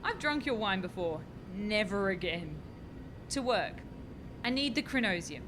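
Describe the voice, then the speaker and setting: A woman's voice: animated, a little slow, lightly acted. A woman playing a keen, exasperated young physics researcher in a radio farce. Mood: eager, teasing. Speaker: actor in a radio play. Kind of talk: radio play farce acting. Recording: noisy home recording with low rumble.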